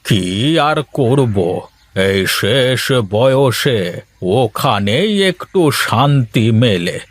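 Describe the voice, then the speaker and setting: Man acting as old village husband, slow pace, homely, agreeable, easygoing, cartoon voice. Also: medium pitch, expressive, dry recording.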